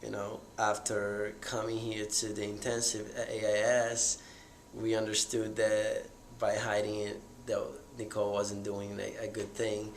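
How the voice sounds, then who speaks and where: A young man asking questions in a loose, halting way full of fillers. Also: lowish voice, completely flat delivery. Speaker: interviewer. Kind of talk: casual conversation. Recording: echoey room, faint noise, voice away from the mic.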